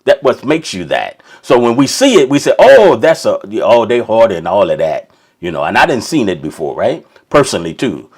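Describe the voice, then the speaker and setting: A man's voice: on the low side, huge pitch swings, normal speed, slightly rough. A man preaching an informal sermon, rambling with many restarts and checking in with "right?". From Kentucky.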